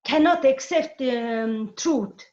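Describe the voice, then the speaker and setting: A woman's voice: high-pitched, slow pace, darkish tone, lo-fi sound. A woman in a group discussion on a video call, searching for words and using many fillers. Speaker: study group participant.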